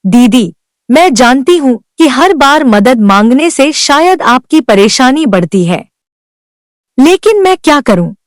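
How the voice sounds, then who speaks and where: A woman's voice: high-pitched, sing-song, slow, no echo, clean studio sound, heavily acted. A woman voicing Sunita, a worn-down young village wife who pleads earnestly for help in a cartoon. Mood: pleading. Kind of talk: Hindi cartoon voice acting.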